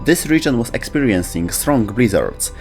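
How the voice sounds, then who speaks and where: A man's voice: mid-range voice, sing-song, moderate pace, faint music behind. A man reading scripted narration for a video about video game lore.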